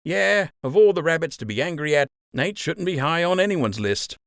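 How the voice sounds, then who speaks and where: A man's voice: on the high side, lively intonation, moderate pace, creaky, a bit bright, no room sound, clean studio sound, voice pushed up. A man voicing a frank, friendly young rabbit soldier. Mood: teasing, friendly. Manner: casual, candid.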